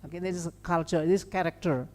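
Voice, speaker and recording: A woman's voice: lowish voice, fairly even intonation, fast talker, a little mellow. A woman with an Indonesian accent giving an academic lecture as a seminar speaker, conversational, often checking in with short tags like okay and right. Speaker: seminar speaker and language educator. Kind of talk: academic lecture with informal asides. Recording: long hall reverb.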